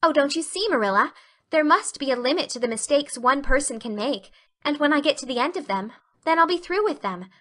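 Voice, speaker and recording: A woman's voice: sits high, lively intonation, a little fast, a bit bright, pitched above the performer's own voice. A woman voicing an effusive, dramatic orphan girl in an audiobook reading. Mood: earnest, hopeful. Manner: effusive, melodramatic.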